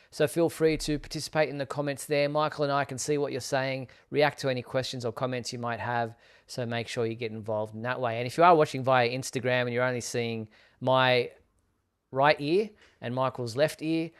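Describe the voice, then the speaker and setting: A man's voice: mid-range voice, fairly even intonation, a little fast, smooth. A man hosting a live online fan talk show, addressing viewers in a courteous, appreciative way.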